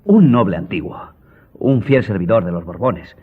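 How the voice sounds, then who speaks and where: A husky, courteous old-house doorkeeper. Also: male voice, lowish voice, lively intonation, normal speed. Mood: deferential, informative. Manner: deferential, chatty. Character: courteous, talkative male doorkeeper of a country house. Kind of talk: audio drama acting. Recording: muffled, band-limited audio.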